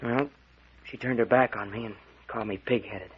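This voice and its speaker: A man's voice: on the low side, fairly even intonation, moderate pace, slightly rough. A man playing a rattled young suspect in an old radio mystery, defensive, hesitant and protesting. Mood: sullen, uneasy. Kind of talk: 1940s radio mystery acting. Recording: muffled vintage radio drama recording.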